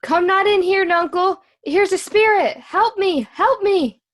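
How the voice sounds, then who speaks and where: A woman playing a king's skittish, teasing jester, excitable and jumpy, in an amateur Shakespeare reading. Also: a little high, a little slow. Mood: frightened.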